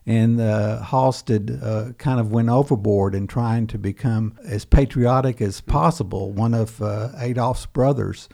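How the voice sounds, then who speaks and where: Older man with a dark, gravelly voice. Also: medium pitch, fairly even intonation. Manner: unhurried, storytelling, with many pauses and fillers. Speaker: history professor, author and guest. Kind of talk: storytelling interview answers.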